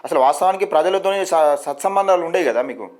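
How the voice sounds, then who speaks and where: A man's voice: on the high side, animated, quick pace, darkish tone. A man with a Telangana Telugu accent interviewing over the phone as a reporter, insistent and often rephrasing his questions.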